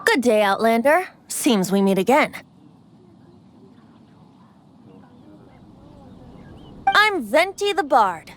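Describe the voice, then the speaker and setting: A woman's voice: mid-range voice, fairly bright tone, a little hiss or hum. A woman playing a youthful boy bard, breezy and secretive, with a light, theatrical delivery in an anime-style game. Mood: playful, breezy.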